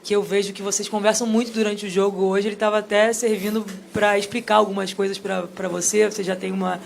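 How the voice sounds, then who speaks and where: A male reporter asks his question quickly and conversationally. His voice is high and gravelly, and faint press-room noise sits behind him. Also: level tone.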